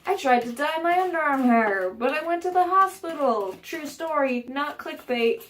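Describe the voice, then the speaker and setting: A woman's voice: high-pitched, animated, normal speed, a bit bright. Chatty, rambling young-sounding woman vlogger. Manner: chatty, rambling, frequent fillers and self-mocking asides. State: a bit stuffed up. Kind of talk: casual how-to vlog chat. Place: home bathroom.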